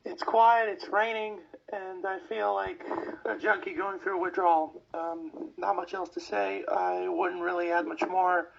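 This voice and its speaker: A man on a podcast panel talking casually after a game, despondent and earnest, with a gravelly, husky voice. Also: high voice, level tone, a little slow, few pauses, muffled recording. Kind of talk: casual post-game talk.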